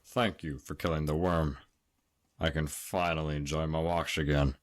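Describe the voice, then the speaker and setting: World-weary male guide for a fantasy game: extremely low register, matter-of-fact, grim. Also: fairly even intonation, moderate pace, soft background music. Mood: grimly helpful. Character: world-weary local man giving grim warnings to a traveler. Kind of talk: video game dialogue.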